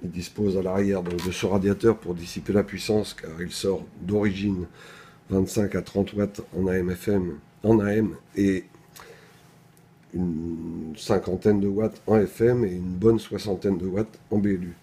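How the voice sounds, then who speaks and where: A man's voice: low-pitched, fairly even intonation, a little slow, warm tone, husky. A man presenting a product in an unscripted technical demonstration, explaining things methodically, step by step.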